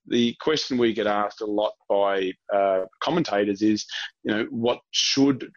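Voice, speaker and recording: A man's voice: fairly low pitch, unhurried, no echo, dull audio. An Australian man working as a real estate agent, explaining things conversationally as an interview guest.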